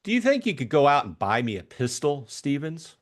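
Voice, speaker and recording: A breezy young gentleman adventurer in a classic mystery audiobook, voiced by a man. He is chummy, jaunty and slangy, with very animated pitch. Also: fairly quick. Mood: brisk, jaunty.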